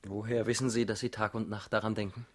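Radio drama role: male actor as terse, earnest man in his twenties, brooding, lowish husky voice. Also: level tone, quick pace, close to an everyday voice. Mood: tense. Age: 20s. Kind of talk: German radio drama acting.

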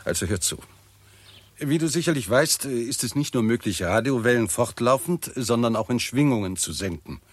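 An actor playing a confident, shrewd professor of astronomy, explaining precisely in a 1960s German radio drama style. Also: male voice, medium pitch, expressive, normal speed, dry recording, natural voice. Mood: lecturing. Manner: didactic, precise, self-assured.

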